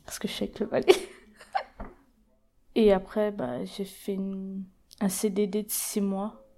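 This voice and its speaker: A woman's voice: medium pitch, dark tone. A woman in her twenties from the Paris region, answering interview questions in a plain, hesitant way with many fillers. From Paris region, France. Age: 20s. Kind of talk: documentary interview testimony.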